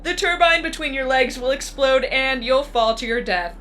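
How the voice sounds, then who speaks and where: Woman acting a bright, cool-voiced female deathmatch announcer. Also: sits high, moderate pace, a little hiss or hum. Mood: commanding. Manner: composed, instructive, matter-of-fact about death. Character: disembodied female announcer of a deadly arena game, coolly menacing. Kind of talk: scripted audio drama acting.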